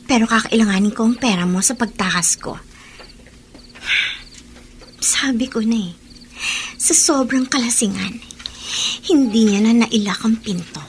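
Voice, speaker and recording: High-voiced wary young captive. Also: female voice, a little slow, long pauses, a little hiss or hum. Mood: tense, secretive. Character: wary, determined young captive woman plotting escape. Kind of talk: Tagalog radio drama acting.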